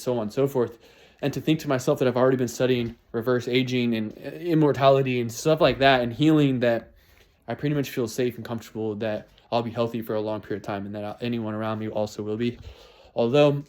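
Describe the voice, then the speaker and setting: A man's voice: medium pitch, a little mellow. A man in his twenties talking through his New Year's resolutions in a casual, motivational way, often repeating and restarting phrases. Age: 20s. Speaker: vlogger.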